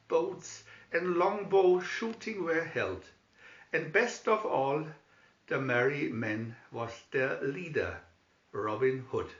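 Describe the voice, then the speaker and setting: Expressive storybook man, slow and echoey. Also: on the high side. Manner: theatrical, measured storytelling. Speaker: story narrator. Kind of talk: dramatic storybook narration. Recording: slightly dull recording in echoey room.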